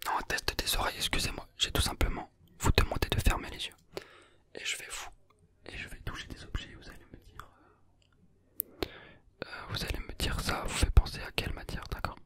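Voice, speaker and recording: A young adult whispering throughout an ASMR roleplay as a playful, teasing examiner who gently reassures the listener. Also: brisk. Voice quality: soft unvoiced whisper.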